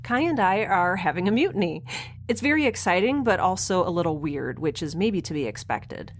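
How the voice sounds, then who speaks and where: A woman's voice: fairly low pitch, big pitch swings, fairly quick. A woman playing a chatty, naive young mutineer in a science fiction audio drama, rambling cheerfully and informally. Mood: eager, curious. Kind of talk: audio drama acting, conversational.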